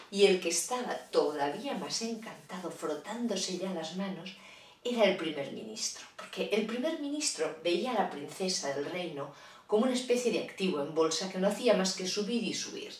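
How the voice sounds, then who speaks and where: A woman's voice: mid-range voice, echoey room, recorded from a distance. A woman telling a children's fairy tale in a playful, chatty way, with asides to her listeners. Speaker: storyteller. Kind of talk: children's storytelling.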